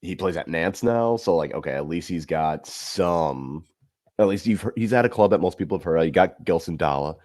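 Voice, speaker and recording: A man co-hosting a casual sports podcast, running through player stats with animated asides. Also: low-pitched, a little fast. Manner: animated, listing stats, swings up when amused. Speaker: podcast co-host.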